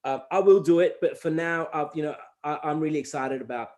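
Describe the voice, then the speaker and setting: A man's voice: on the high side, fairly quick. A man, a hip-hop artist who grew up speaking Gujarati, talking in a casual interview, telling stories animatedly and with many restarts. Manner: animated storytelling, acts out quoted exchanges; frequent fillers and restarts.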